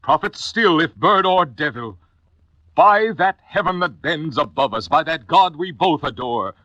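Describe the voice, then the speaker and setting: A man's voice: on the high side, expressive, unhurried, sharp tone, no echo, muffled recording, voice pushed up. A man playing a grief-maddened, desperate man in an impassioned, theatrical 1950s poetry recitation. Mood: desperate pleading.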